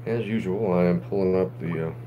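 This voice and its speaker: A man cooks in his home kitchen and chats easily with viewers on a live stream, his low voice gone hoarse from a coming cold. The phone picks him up from across the counter. A steady hum sits under everything. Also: brisk, recorded from a distance, highs cut off. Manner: chatty, greets viewers by name while cooking. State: voice going hoarse, getting sick. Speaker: home cook livestreaming. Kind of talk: casual cooking livestream. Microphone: phone camera.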